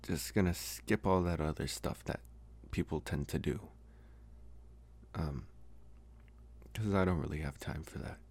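A young man playing a socially awkward college vampire, possessive yet earnest, speaking haltingly in an intimate ASMR roleplay. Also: a bass voice, gravelly, a little mellow, slightly rough, full character voice. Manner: halting, hesitant, trying to sound intimidating.

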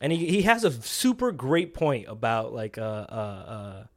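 A young man cohosting a podcast, talking in relaxed slang and laughing often. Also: mid-range voice, moderate pace. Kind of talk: casual chat.

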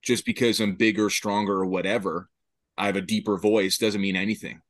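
A man's voice: fairly low pitch, little pitch movement, a little fast, no room sound, studio quality. A man talking in an earnest, emphatic way during a casual podcast discussion.

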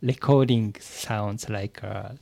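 A man's voice: fairly low pitch, fairly even intonation, slow, gravelly, darkish tone, no echo. A man from Japan with a Japanese accent, an English learner answering interview questions hesitantly, with hums of agreement. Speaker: podcast guest, English learner.

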